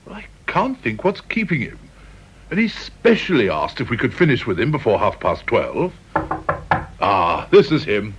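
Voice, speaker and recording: A man's voice: medium pitch, very animated, measured pace, fairly bright tone, a little hiss or hum. An actor playing a genial, slightly fussy British chairman of an interview board in a radio drama, polite and hesitant. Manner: hesitant, deferential, clubbable. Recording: dull old radio recording.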